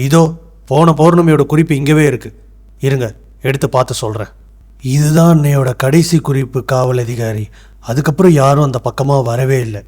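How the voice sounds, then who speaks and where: A man playing an earnest, polite village record keeper in an animated moral tale. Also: medium pitch, fairly quick, lightly acted. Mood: helpful, earnest.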